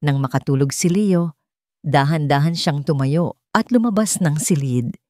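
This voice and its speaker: A woman's voice: deep voice, unhurried, darkish tone, no echo, clean studio sound. A woman with a Filipino accent reading a scripted dramatic story as a narrator. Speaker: storyteller of an audio love drama.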